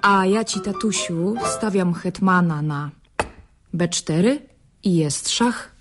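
An actress playing a young, sly, self-assured chess prodigy in a radio drama, polite and confident. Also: female voice, fairly low pitch, takes it slowly, soft background music. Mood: cool confidence.

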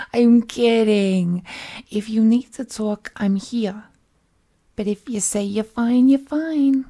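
A woman's voice: mid-range voice, warm tone. A woman playing a cheerful, possessive villainess kidnapper in a roleplay audio drama, cooing and coaxing the listener in folksy slang. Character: cheerful, possessive female supervillain kidnapper, doting and unhinged.

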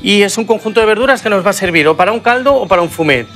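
A man's voice: high-pitched, expressive, very fast, a bit bright, soft background music. A middle-aged man with a Spanish accent, a chef co-hosting a TV cooking show, explaining cooking steps in a studio kitchen. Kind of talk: TV cooking demonstration.

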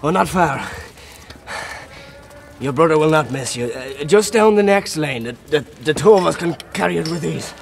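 A man playing an earnest, gentle friar who tends the sick poor, speaking politely and haltingly in an audio drama. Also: fairly high pitch, lively intonation, creaky. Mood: polite, eager.

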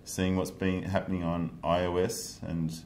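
A man's voice: low-pitched, flat delivery, moderate pace, gravelly, a little mellow, slight background noise. A man, hosting a studio interview and offering brief, agreeable interjections. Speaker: host. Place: virtual news-style studio set.

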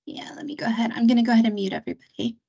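A woman with a husky voice moderating a video call, muting participants. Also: a little high, big pitch swings, racing, mellow, no room sound, muffled recording.

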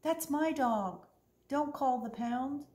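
A woman voicing a bold, earnest young girl who calls out in bright, eager bursts. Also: on the low side, measured pace, lightly acted. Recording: roomy echo.

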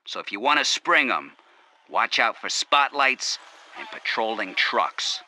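An actor voicing a brusque toy informant giving a secretive briefing in an animated video game. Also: male voice, mid-range voice, measured pace, a little hiss or hum, poor audio. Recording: game sound effects and insect ambience behind.

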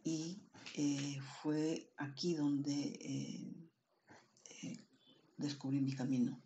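A woman in her sixties from Andean Peru, a native Quechua speaker, speaks in a halting, solemn, measured way as a poet presenting her work. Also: deep voice, little pitch movement, warm tone, a little hiss or hum. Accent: Andean Peruvian. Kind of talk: introducing and reading own poetry. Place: small plain room indoors.

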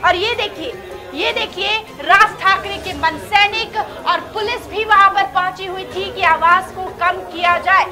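Woman, very high pitch, emphatic breaking news commentary, repeated phrases, music and crowd behind. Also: a little slow. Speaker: news anchor. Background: loud music and crowd sounds.

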